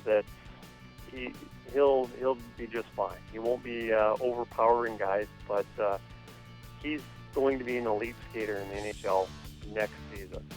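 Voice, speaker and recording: A man with a fairly low voice gives a phone interview as a hockey coach. He speaks in a measured, hesitant way, feeling for his words. Faint music runs behind him. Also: fairly even intonation, a little mellow.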